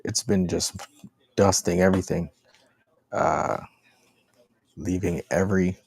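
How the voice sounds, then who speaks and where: A man's voice: low voice, fairly even intonation, slow, creaky, a little mellow, breathy edge, no room sound. A man hosting a livestream, giving casual, slangy, repetitive commentary and talking to his chat. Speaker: livestream host.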